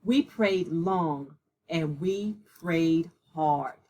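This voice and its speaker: A woman voicing a devout, earnest mother in a storybook, solemn and slightly husky. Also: low voice, drawn-out words, mellow, pitched below the performer's own voice, heavily acted. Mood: solemn, hopeful.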